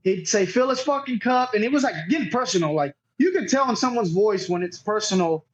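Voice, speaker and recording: A man's voice: sits high, expressive, fairly quick, dull audio. A man being interviewed as a podcast guest, telling a story casually in a rambling, profane way and often quoting others. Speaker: podcast guest, cornhole player.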